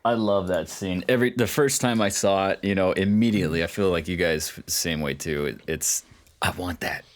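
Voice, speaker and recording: A man co-hosting a podcast, chatting casually about video games and haltingly searching for his words. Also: low-pitched. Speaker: podcast co-host.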